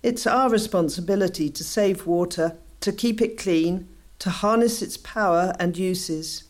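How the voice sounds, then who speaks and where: A woman's voice: fairly low pitch, a little slow, natural voice. A woman playing an earnest townswoman campaigner in a community radio play, speaking in declarative scripted lines. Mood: resolute. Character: earnest townswoman urging care for water.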